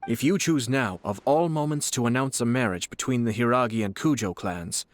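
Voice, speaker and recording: An actor voicing a shrewd young male noble commissioner in a video game, courteous and measured. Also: mid-range voice, dry recording, lightly acted. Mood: probing. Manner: courteous, measured, politely probing.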